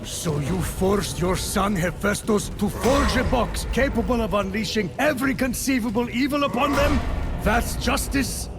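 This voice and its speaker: A principled titan narrator in a myth game, highish voice, eloquent n dramatic, big loud score behind. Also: male voice, moderate pace. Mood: indignant. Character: Titan narrator, wry, principled, defiant male storyteller. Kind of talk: theatrical myth narration and argument. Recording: loud music under voice.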